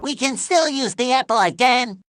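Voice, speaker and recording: Tiny pushy cartoon salesman, high bright voice, cheerfully menacing ad skit stuff. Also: normal speed. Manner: perky, insistent sales pitch. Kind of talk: old TV ad skit dialogue.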